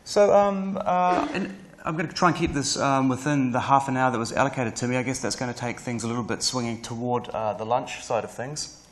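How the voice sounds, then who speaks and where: A man in his thirties with a New Zealand accent, giving a conference talk in a lecture hall, rushing along with many fillers and restarts. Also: medium pitch, slight background noise. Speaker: guest speaker. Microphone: lapel mic.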